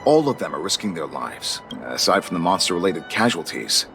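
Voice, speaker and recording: A man voicing a stern but fair military guard captain in a video game, earnest and deliberate. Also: low-pitched, big pitch swings, creaky, fairly bright tone, soft background music, full character voice.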